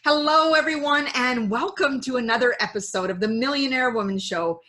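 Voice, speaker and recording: A woman's voice: high voice, fairly quick, pushed and bright. A woman hosting an interview show, upbeat and enthusiastic as she reads her guest's introduction. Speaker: show host.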